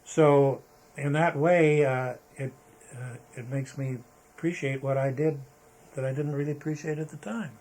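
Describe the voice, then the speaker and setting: An older American man reminiscing informally in an interview, halting as he thinks aloud. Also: mid-range voice, fairly even intonation, a little mellow. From United States. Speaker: interviewee, retired Hollywood photographer. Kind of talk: reminiscing in an oral history interview. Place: photographer's studio, indoors. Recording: noisy archival interview with room ambience.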